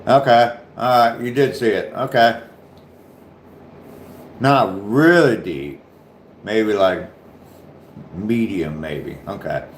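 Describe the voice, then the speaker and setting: Man, deep voice, mild southern Ohio accent, relaxed livestream chat, echoey room, faint hum. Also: takes it slowly, long pauses. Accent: southern Ohio, slight Appalachian touch. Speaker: livestream host. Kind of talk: casual livestream chat reading comments.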